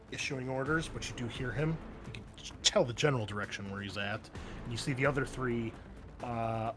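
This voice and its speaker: A man's voice: medium pitch, a bit bright. Man running a tabletop roleplaying game. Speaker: game master.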